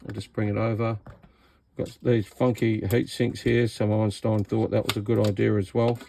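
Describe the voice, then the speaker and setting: A man's voice: fairly low pitch, level tone, moderate pace, creaky, dark tone, a little hiss or hum. An Australian man giving casual, hands-on commentary as he inspects equipment, speaking in a blunt, sarcastic way. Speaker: radio repair hobbyist presenting a video.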